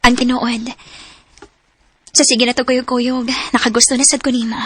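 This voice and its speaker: An actress playing a young woman who has just started office work, in a radio drama, speaking earnestly and softly. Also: a little high, flat delivery, moderate pace, slightly rough. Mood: tender. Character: young woman Trisha, office worker, hurt by her mother.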